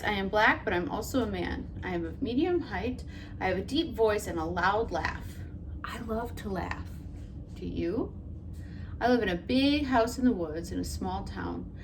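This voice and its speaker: A woman teacher reading a picture book to children, her pitch rising and falling in a lively way. She tells the story gently and puts questions to her young listeners. Clear background noise sits under her voice.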